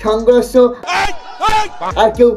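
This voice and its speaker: A person hosting a comic roast in a mocking, exaggerated, excitable way. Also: mid-range voice, slow pace, raised voice, crowd noise. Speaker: prank video host and roaster. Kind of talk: teasing comic roast commentary. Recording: music behind the voice. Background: music.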